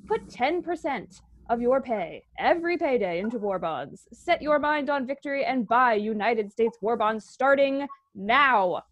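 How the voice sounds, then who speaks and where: A woman's voice: on the high side, expressive, unhurried, few pauses, dry recording. A woman announcer reading a persuasive, declarative appeal in the style of 1940s radio.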